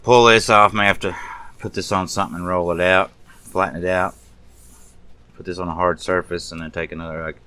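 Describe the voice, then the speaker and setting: Male hobbyist presenter, low register, folksy and off-the-cuff, thinking aloud during a casual bench walkthrough. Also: steady tone, fairly quick, sharp tone, clear, resonant tone. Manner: unscripted, muttering to self while working. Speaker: radio restoration hobbyist, presenter. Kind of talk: casual hands-on restoration walkthrough.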